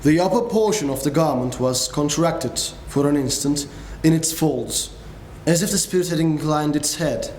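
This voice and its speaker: A man narrating a classic story with grave, measured delivery in a dramatic reading. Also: fairly high pitch, a little mellow. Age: young adult. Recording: echoey room, faint noise.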